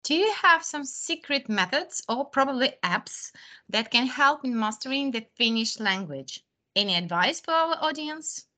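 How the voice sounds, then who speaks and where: Woman, podcast host, higher voice, bright and lively, measured scripted reading. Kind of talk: scripted podcast introduction and interview questions.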